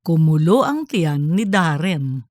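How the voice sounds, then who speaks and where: A woman's voice: low voice, sing-song, slow, darkish tone, no echo, clean studio sound. A woman reading scripted narration for a comedic fiction story. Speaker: audiobook narrator.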